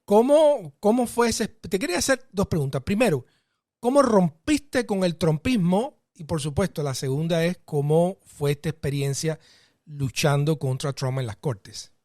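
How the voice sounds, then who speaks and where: A man hosting an interview, introducing his guest with courteous, measured phrasing and small hesitations. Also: fairly high pitch, animated, a little mellow, no room sound, clean studio sound. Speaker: interview host. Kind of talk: formal interview.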